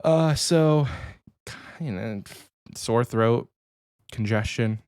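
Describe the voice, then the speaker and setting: A man's voice: mid-range voice, extremely slow, darkish tone, clean tone, dry recording, studio quality. A man in his thirties co-hosting a podcast, chatting casually and rambling a little, sounding slightly nasal from a cold. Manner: rambling, easygoing, many restarts. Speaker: podcast co-host.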